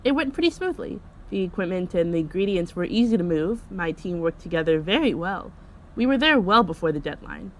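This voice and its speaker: A woman's voice: lowish voice, expressive, a little fast, a little hiss or hum, close to an everyday voice. A woman playing an efficient crime department head in an audio roleplay, reporting in a positive, businesslike way. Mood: upbeat. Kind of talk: scripted audio roleplay acting.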